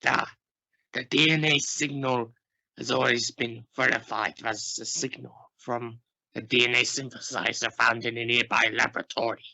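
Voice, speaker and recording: Man playing a solemn Russian spokesman, bright-edged voice. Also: medium pitch, fairly even intonation, gravelly, exaggerated voice. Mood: solemn regret. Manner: formal, regretful, deliberate. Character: solemn, formal Russian space agency spokesman Sergei. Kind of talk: amateur radio play acting. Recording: dull, noisy home-made radio play recording.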